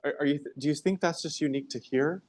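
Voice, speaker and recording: A woman interviewing a guest, asking brisk, eager follow-up questions. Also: sits low, darkish tone. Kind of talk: conversational interview.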